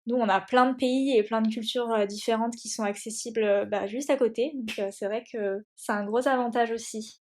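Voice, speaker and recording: A woman's voice: fairly high pitch, steady tone, moderate pace, few pauses, darkish tone. A young woman hosting a podcast, chatting casually with fillers and frequent laughs. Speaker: podcast host. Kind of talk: casual conversational interview.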